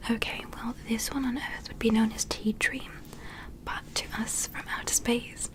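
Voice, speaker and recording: A woman whispering an ASMR roleplay as a gentle, curious alien medic, giving soothing, encouraging step-by-step instructions. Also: on the high side, low-quality recording. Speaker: ASMR performer playing a medical examiner.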